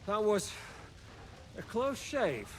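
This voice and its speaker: Highish, pragmatic medieval nobleman. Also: wildly swooping pitch, slow pace, noisy background, full character voice. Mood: relieved. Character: pragmatic, commanding medieval nobleman leading allies. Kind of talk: video game drama acting.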